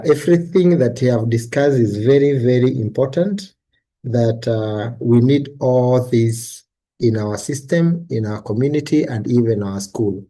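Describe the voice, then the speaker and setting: Male moderator, hesitant delivery with fillers, mellow dark tone. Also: mid-range voice. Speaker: session moderator. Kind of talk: online seminar moderation.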